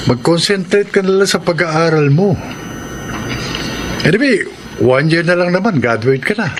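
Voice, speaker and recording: An actor playing a protective, forgiving older brother and husband in a Filipino radio drama, with a Filipino accent. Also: male voice, fairly high pitch, lively intonation, normal speed, background noise, close to an everyday voice. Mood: caring, reassuring. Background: dramatic background music.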